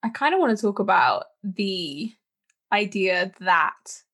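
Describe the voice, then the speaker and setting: A woman's voice: medium pitch, slow. Laughing woman in her twenties. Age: 20s. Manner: laughs often, animated. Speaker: podcast co-host. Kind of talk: casual podcast chat.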